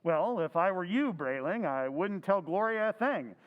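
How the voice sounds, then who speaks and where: An actor playing an anxious husband in an old-time radio drama recreation, growing nervous and then panicked. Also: male voice, sits high, animated, normal speed, muffled recording. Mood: urgent. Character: Henry, anxious middle-aged husband, jittery.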